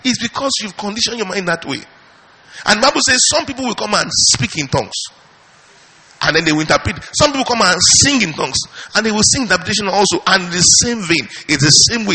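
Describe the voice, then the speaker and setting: Animated preaching man, bright husky voice. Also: high-pitched. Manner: animated preaching, rhetorical questions, frequent hallelujahs. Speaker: pastor. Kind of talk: charismatic sermon.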